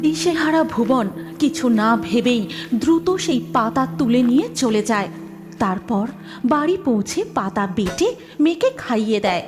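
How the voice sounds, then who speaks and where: A woman narrates a scripted, dramatic tale in a fairly high voice. Clear music runs underneath her storytelling. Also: animated, moderate pace.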